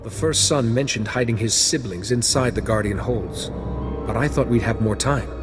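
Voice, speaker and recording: Actor as a calm Jedi hero over music. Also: male voice, medium pitch, close to an everyday voice. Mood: composed. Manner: measured, composed, formal. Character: calm, resolute male Jedi consular, heroic mediator. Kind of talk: video game cutscene acting.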